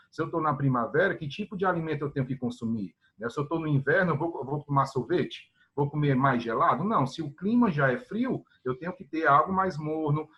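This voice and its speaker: A man's voice: on the high side, fairly bright tone, lo-fi sound. A man from northeastern Brazil, a guest professor giving an explanatory talk over a video call, often closing his phrases with tag questions aimed at his students. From Ceará, Brazil. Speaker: guest professor of Chinese medicine.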